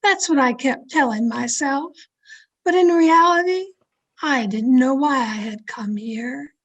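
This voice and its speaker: A woman playing a restless, haunted heroine who narrates her mystery tale in melodramatic, deliberate old-time radio style. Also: sits high, a bit bright, lo-fi sound. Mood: haunted, intense.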